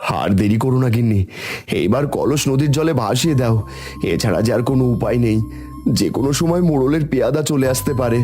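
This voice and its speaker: A man's voice: medium pitch, expressive, a little fast, dark tone, faint music behind, close to an everyday voice. A man playing a poor, devout village father in a cartoon, speaking earnestly and with sorrow. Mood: sorrowful. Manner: earnest, pleading. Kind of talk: Bengali cartoon voice acting.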